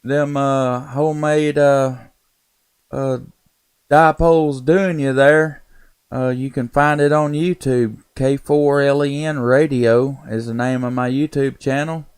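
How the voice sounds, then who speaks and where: Southern American male, medium pitch, resonant and clean, folksy conversational radio-operator delivery. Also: fairly even intonation, slow, smooth. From Tennessee, United States. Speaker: amateur radio operator and live streamer. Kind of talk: casual ham radio chat.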